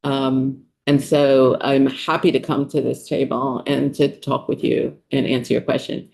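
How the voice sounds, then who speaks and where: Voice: mid-range voice, level tone, measured pace, creaky, darkish tone, poor audio. New York City–accented official testifying. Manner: conversational, many ums, restarts mid-sentence. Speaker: state official testifying as a witness. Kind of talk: testimony at a committee hearing.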